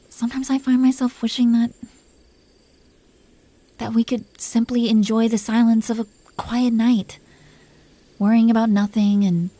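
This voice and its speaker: Casting: woman as dragon queen for intimate audio roleplay. Dark, mellow tone; possessive, teasing, secretly tender, soft-spoken and coaxing. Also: a little high, no echo. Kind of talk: intimate roleplay audio drama addressed to the listener.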